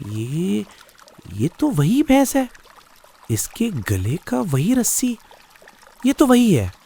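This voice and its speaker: An actor playing a shocked village man in a storybook cartoon, halting and stunned, with a gravelly, breathy voice. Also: high-pitched, huge pitch swings, mellow, full character voice.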